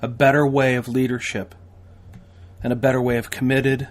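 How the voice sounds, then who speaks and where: A man's voice: mid-range voice, little pitch movement, normal speed. An American man hosting a podcast, delivering a promotional monologue as a training instructor in an emphatic, folksy way with repetition and rhetorical questions.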